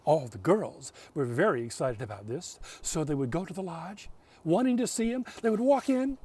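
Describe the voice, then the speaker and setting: Man telling folk tales, very animated. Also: high voice, far from the mic. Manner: theatrical, deliberate pauses. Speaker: storyteller. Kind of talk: dramatic oral folk-tale storytelling.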